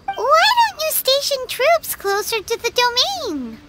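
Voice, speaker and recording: A woman's voice: very high voice, huge pitch swings, measured pace, full character voice. A woman voicing a tiny, chatty, cheeky childlike companion in a video game. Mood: curious chatty. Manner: refers to self in third person, chirpy.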